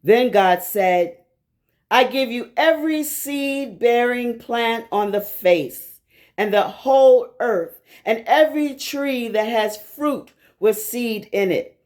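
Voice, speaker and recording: Slow, deliberate woman reading scripture. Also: fairly high pitch, a little mellow. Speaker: scripture reader. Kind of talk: measured scripted Bible reading.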